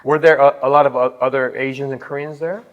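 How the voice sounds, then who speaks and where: A man's voice: medium pitch, fairly even intonation, quick pace, lo-fi sound. A man hosting a casual interview show, upbeat and chatty, using lots of fillers. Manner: upbeat, hype-style, chatty with many fillers. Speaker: host.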